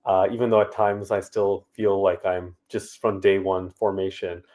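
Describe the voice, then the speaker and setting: A man, a startup founder answering interview questions in a relaxed, conversational way with many fillers. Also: low voice, moderate pace. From Canada.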